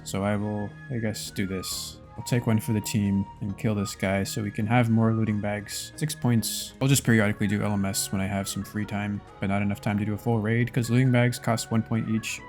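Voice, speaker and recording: A man's voice: on the low side, a little mellow. A man giving casual, laid-back commentary over his own gameplay in slangy gamer talk. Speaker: gaming video creator narrating his own play.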